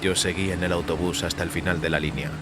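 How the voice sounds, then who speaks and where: A man reading scripted first-person fiction as narrator, in a measured, composed manner. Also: low-pitched, fairly even intonation, noisy background. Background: music.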